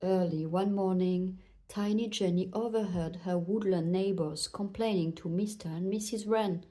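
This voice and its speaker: A woman reading a children's story aloud in an expressive narrating style. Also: mid-range voice, normal speed. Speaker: children's book reader.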